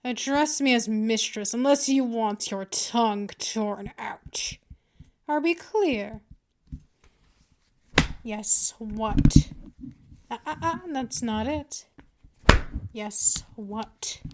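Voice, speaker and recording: A woman playing a dominant, patient female vampire mistress in an ASMR roleplay, teasing, menacing and condescending in a deliberate delivery. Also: fairly high pitch, very noisy. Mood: commanding. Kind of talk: ASMR roleplay, scripted monologue.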